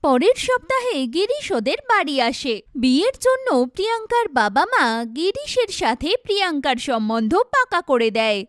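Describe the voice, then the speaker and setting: A woman's voice: very high voice, sing-song, moderate pace, no echo. Woman narrating a cartoon story, dry recording.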